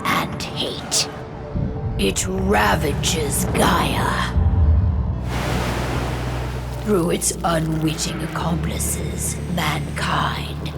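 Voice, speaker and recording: A solemn werewolf storyteller opening a video game with ancient lore. Her fairly low voice moves extremely slowly, leaving ominous gaps between phrases. Loud music swells beneath her. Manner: slow, deliberate, ominous, long pauses. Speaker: narrator. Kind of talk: solemn scripted prologue narration. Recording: music under the voice.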